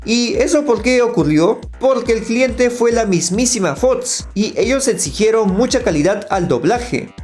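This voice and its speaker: A man's voice: high voice, darkish tone. A man delivering scripted critical commentary in a voice-over. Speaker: video critic. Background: faint music.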